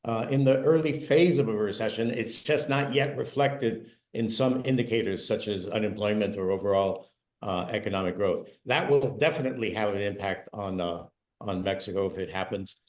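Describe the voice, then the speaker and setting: A man on an online news panel, speaking in a measured, analytical way. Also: fairly low pitch, darkish tone. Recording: echoey room.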